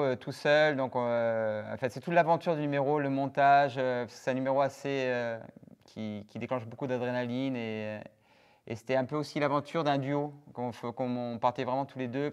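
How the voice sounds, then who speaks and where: French circus performer; halting interview answers, clean resonant tone. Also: male voice, medium pitch, clear, resonant tone, highs cut off. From France, Toulouse. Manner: hesitant, many fillers.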